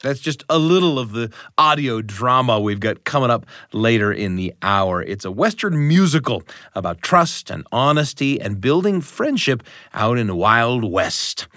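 A man's voice: medium pitch, fairly bright tone, no room sound, clean studio sound. A man hosting a story show, introducing it in a scripted but conversational, upbeat and emphatic way. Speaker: radio show host.

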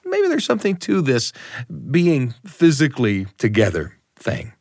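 A man hosts a story program with an upbeat, emphatic delivery. His pitch moves in lively swings, and the studio sound is close and dry. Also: a little slow, no room sound. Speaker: radio show host. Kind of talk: scripted, conversational story-show introduction.

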